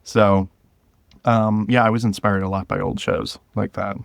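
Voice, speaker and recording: A man chatting casually as an interview guest, halting as he searches for words. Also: fairly low pitch, flat delivery, creaky, dry recording. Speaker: podcast guest, writer and creator.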